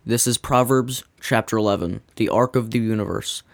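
A man reading a scripted devotional in a measured, earnest way. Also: fairly low pitch, gravelly, no room sound, clean studio sound. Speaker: devotional reader.

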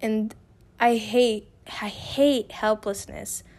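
A young woman hosting a personal podcast, her voice a little raspy from feeling unwell, talking candidly and rambling with restarts. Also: on the high side, steady tone, takes it slowly, a little mellow. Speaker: podcast host. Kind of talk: casual personal podcast monologue.

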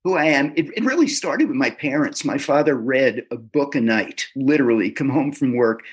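A man being interviewed as an author, answering in a casual, halting way and often repeating words as he starts a sentence. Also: medium pitch, expressive, husky. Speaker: author guest.

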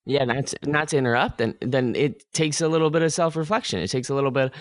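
A man's voice: mid-range voice, fast talker, no echo, clean studio sound. A man hosting a podcast, chiming in with short follow-up points in casual conversation. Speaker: podcast host.

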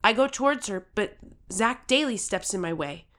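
A woman's voice: mid-range voice, animated, moderate pace, fairly bright tone, lightly acted. A woman playing an earnest, determined thirteen-year-old girl who tells her own story with urgency in an audio drama. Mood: tense narration. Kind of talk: first-person audio drama narration and acting.

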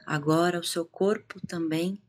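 A Brazilian woman guiding a meditation in a calm, hushed manner with long pauses. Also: lowish voice. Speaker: meditation guide, integrative therapist. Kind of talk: guided meditation. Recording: music behind the voice. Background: meditation music.

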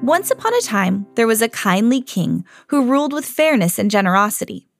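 A mother hosting her own podcast, reading a children's storybook aloud with lively jumps for the quoted lines. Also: on the high side, brisk, gravelly, faint music behind. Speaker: mom reading to her kids, podcast host.

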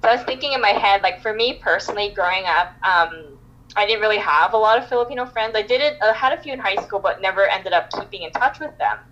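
A woman's voice: medium pitch, fast, fairly bright tone, lo-fi sound. A woman from Canada, a guest on a podcast, talking casually with lots of fillers and restarts. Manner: chatty, many fillers and restarts. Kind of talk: casual interview chat.

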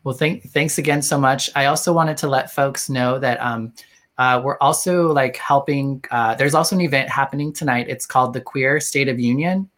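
Guy hosting a video call interview, soft and kinda hesitant, lots of ums, middle pitch. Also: normal speed. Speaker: host. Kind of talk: casual interview chat.

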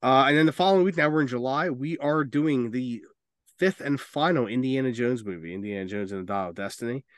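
A man with a mid-pitched voice hosts a casual movie podcast. He speaks informally and with strong opinions, swearing freely. The sound is close and dry. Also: brisk, no echo. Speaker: podcast host.

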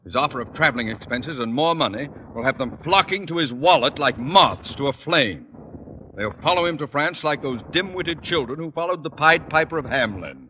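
A blustering middle-aged principal in a radio sitcom. He is pompous and sarcastic, with florid phrasing, a bright forceful tone and big swings in pitch. The old recording has faint noise. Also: animated, a little fast, highs cut off. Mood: indignant. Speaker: comedy actor.

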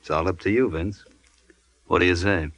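An actor playing a tough, bossy American gang leader in an old-time radio crime drama, commanding and slangy. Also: male voice, low voice, fairly even intonation, normal speed, a bit bright. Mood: scheming, commanding. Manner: commanding, slangy, sure of himself.

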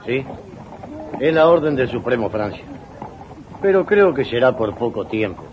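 A man's voice: medium pitch, big pitch swings, unhurried. A man playing a deferential army captain in a radio drama, formal and respectful. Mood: dutiful, formal. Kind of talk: 1980s radio drama acting. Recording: muffled, faintly noisy old radio recording.

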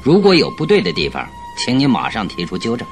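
A confident radio-drama detective. His mid-pitched voice swings in lively fashion as he expounds with courteous authority, over a light music bed. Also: brisk. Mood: courteous, commanding. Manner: masterful, courteous, expository. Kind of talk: Chinese radio drama acting. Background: background music.

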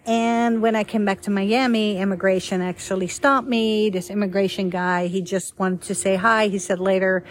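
A middle-aged woman from the Netherlands, casually telling her own life story in a chatty, lively way. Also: medium pitch, normal speed, few pauses, slight background noise. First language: Dutch. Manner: chatty and lively, acts out quoted dialogue.

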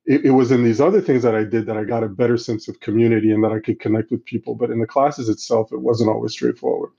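A middle-aged man who grew up in Central Africa, answering interview questions in a halting, reflective way with many restarts. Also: mid-range voice, steady tone, creaky, mellow, husky. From Congo, Central Africa. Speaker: guest. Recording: slightly dull, lo-fi sound.

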